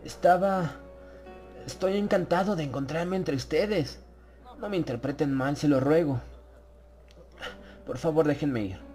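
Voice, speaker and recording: A man voicing a nervous, courteous Englishman in a horror audiobook, halting and pleading, over music. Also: on the high side, darkish tone, pitched above the performer's own voice, natural voice. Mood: pleading, anxious. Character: nervous, polite Englishman trapped among sinister hosts.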